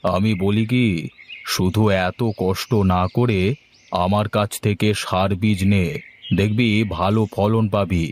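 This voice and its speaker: A man's voice: lowish voice, steady tone, measured pace, slight background noise, lightly acted. A man voicing a sly, pushy village seed seller in a cartoon, coaxing and condescending. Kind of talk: cartoon dialogue.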